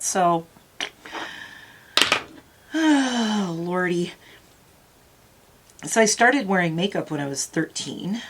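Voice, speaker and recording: Middle-aged female beauty vlogger, lowish voice with a touch of morning rasp, rambling casual chat. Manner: rambling, chatty, talks straight to viewers. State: slightly raspy morning voice. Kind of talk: casual makeup tutorial chat.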